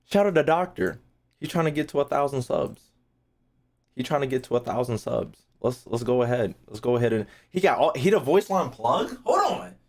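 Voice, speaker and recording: A man's voice: mid-range voice, big pitch swings, normal speed. An American man hosting a livestream in casual chat, bantering brashly with viewers, swearing freely and repeating phrases for emphasis. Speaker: streamer hosting a reaction video.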